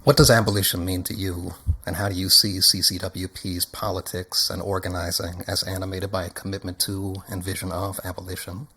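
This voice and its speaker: A man asks prepared interview questions in a low, flat voice with a forceful, bright edge. His phrasing is formal and wordy. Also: a little fast, few pauses, slightly rough. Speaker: interviewer, co-producer.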